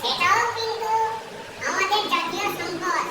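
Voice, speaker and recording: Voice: very high-pitched, measured pace. A presenter giving a scripted awareness talk for a school puppet show, instructing children in a didactic way. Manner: didactic, instructing children and checking they understand. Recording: distant microphone with clear background noise.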